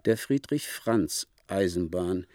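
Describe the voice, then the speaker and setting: An actor playing an enthusiastic, literary traveller reading out his letters in a radio play, with animated, precise diction. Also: sits low, takes it slowly, heavy background noise. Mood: marvelling.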